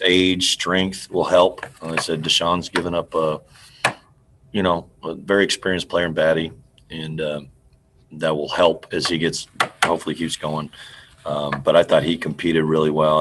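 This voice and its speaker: Very deep-voiced halting coach. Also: male voice, a little hiss or hum. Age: middle-aged. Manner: halting, restarts sentences, trails off quietly. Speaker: head basketball coach. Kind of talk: postgame press conference answers. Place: indoor room in front of a team backdrop. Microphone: video call computer mic.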